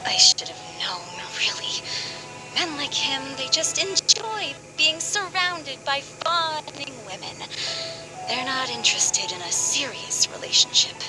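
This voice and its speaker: Bitter young game lady, high voice, really animated and defensive, loud music behind. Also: unhurried, poor audio. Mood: bitter, scornful. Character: bitter, scornful young woman spurned in love. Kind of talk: video game voice acting.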